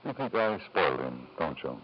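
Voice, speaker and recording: A muffled old radio drama recording features a self-justifying, titled father. He is urbane and coaxing, pressing his points with lively rises and falls in pitch. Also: moderate pace, breathy edge, heavily acted. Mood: defensive. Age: middle-aged. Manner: urbane, insistent, repeats questions for emphasis. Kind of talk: 1950s radio drama acting. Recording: dull vintage radio recording.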